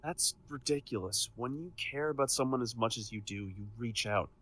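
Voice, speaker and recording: A man playing a proud, defiant supervillain with a soft side in an audio drama, speaking emphatically and earnestly. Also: medium pitch, normal speed, close to an everyday voice.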